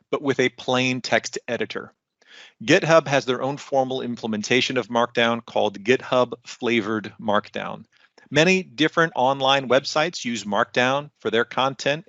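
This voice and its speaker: A man's voice: medium pitch, fairly bright tone, no room sound, muffled recording. A man giving an informal, conversational instructional walkthrough as a conference presenter. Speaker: conference presenter, documentation team member.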